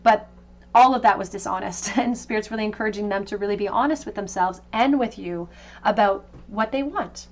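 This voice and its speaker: A woman's voice: medium pitch, fairly quick. Woman doing a tarot reading. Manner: intuitive, conversational, gently reassuring. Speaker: tarot reader. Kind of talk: tarot card reading to camera.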